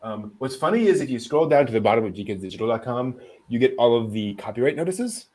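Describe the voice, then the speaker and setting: A man's voice: medium pitch, lively intonation, fast, darkish tone. Man casually running through news. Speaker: anime news podcast host.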